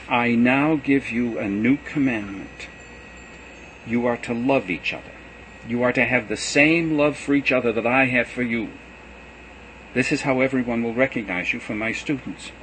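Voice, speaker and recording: A man voicing Jesus as a gentle teacher saying farewell to his students, earnest and measured. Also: medium pitch, slight background noise, natural voice, low-quality recording. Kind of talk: reading a gospel aloud.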